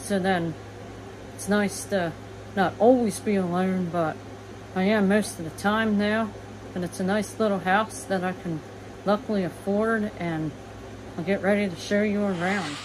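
Brief: twenties woman, plain and matter-of-fact vlogger, phone audio with steady household hum. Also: medium pitch, a little slow. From eastern United States. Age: 20s. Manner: plain, matter-of-fact, listing things as she walks. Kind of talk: casual house tour. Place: small manufactured home, indoors. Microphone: phone camera, front-facing then walking.

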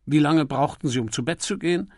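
A man voicing a stern, easily indignant male coroner in an audiobook, brisk and commanding as he questions. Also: a little high, darkish tone, dry recording, studio quality, close to an everyday voice. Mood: brisk, official. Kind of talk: audiobook character dialogue.

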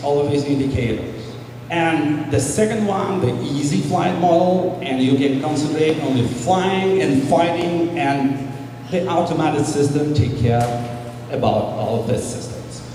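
A man from Russia with a Russian accent, presenting in slow, halting English as a game producer, speaking into a handheld mic in a large hall. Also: a little high, noisy background. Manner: halting non-native English, slow and deliberate. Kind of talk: presentation to an audience. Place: large hall with flag backdrop. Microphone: handheld mic, recorded by a far camera. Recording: echoey hall recorded from far off.

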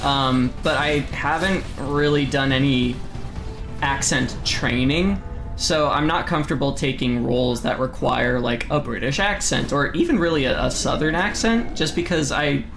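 A young man from the United States chatting casually with his stream chat while gaming at home, reading messages aloud and breaking into sudden loud exclamations. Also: mid-range voice, normal speed, music in the background. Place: home room, seated in desk chair.

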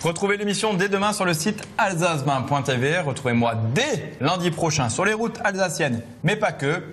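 A man's voice: high-pitched, expressive, normal speed, fairly bright tone, a little hiss or hum. A man in his thirties hosting a casual on-location interview for television. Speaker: TV show host. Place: restaurant dining room and kitchen.

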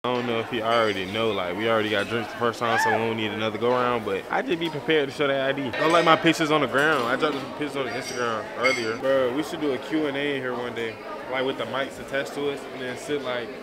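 Young man vlogging in a loud restaurant. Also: medium pitch, steady tone, quick pace, hardly any pauses. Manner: loose, slangy, joking, talks straight to viewers. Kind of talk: casual vlog chat to camera. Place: dim busy restaurant booth. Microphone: handheld camera at arm's length. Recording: noisy crowded restaurant. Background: restaurant crowd chatter.

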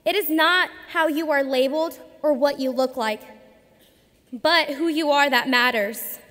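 A young woman in her twenties gives a formal graduation speech at a lectern, earnest and measured, with emphatic pauses. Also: sits high, fairly bright tone. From United States. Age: 20s. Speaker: student commencement speaker. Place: large arena at a lectern. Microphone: podium microphone. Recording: long arena reverb.

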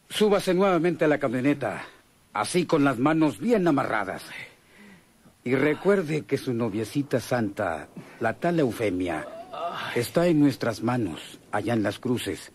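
Un actor que interpreta a un jefe de maleantes mexicano, cruel, burlón y amenazante, en una radionovela. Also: male voice, medium pitch, big pitch swings, a little slow. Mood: threatening. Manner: taunting, threatening. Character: menacing, mocking male gang boss, cruel. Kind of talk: Mexican radio drama acting.

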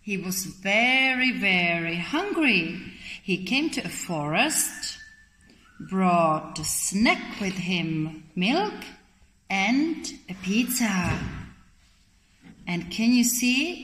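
A woman in her thirties tells a picture-book story to small children in simple, expressive phrases, asking questions as she goes. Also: medium pitch, drawn-out words. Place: indoor children's classroom. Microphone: camera a short distance away. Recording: roomy echo, faint background noise.

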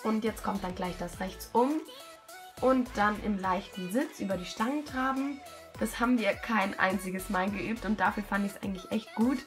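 A hoarse young woman with a cold, talking casually and self-critically over a video of her riding. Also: medium pitch, normal speed, soft background music. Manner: self-critical, chatty, many fillers. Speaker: rider commenting her own show-jumping test video. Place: at home, voice-over.

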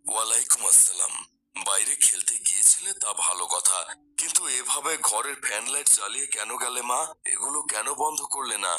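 A man playing a pious, patient father who gently admonishes his daughter in a cartoon. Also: mid-range voice, animated, brisk. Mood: admonishing. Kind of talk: cartoon dialogue.

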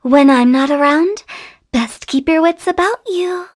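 A very high, girlish video game voice, playing a mischievous young funeral parlor director. She takes her time over the words with a slow, theatrical lilt. The delivery is teasing, eccentric and sing-song. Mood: cheerful, playful. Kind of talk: video game character voice lines.

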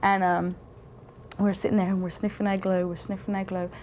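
A young woman tells a story to the camera in a rambling, halting way full of fillers. Her voice sits at a middle pitch, recorded close up indoors with a faint hiss behind it. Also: flat delivery, darkish tone. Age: young adult. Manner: rambling, with many fillers and restarts. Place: indoor studio with a plain wall.

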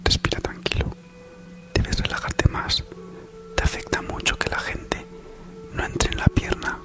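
A man's voice: a little slow, over music. A man from Spain delivers an ASMR parody entirely in whispers. His manner is soothing and reassuring on the surface, with a teasing edge underneath. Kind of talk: whispered ASMR comedy monologue. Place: small living room at home, on a sofa.

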